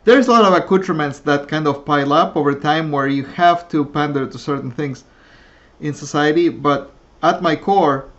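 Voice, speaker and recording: A woman who grew up speaking Russian, hosting an interview over a video call in a measured, reflective way. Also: low voice. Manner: measured, reflective, thinks in analogies. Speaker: host. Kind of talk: interview conversation. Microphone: webcam on a video call. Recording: dull lo-fi video call audio.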